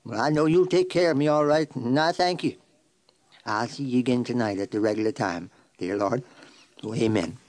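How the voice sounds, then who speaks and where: A man's voice: mid-range voice, normal speed, a little mellow, close to an everyday voice. An actor playing a kindly, trusting, devout old man with a rural American accent in a children's radio drama. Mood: hopeful, trusting. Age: older. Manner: folksy, homespun, earnest.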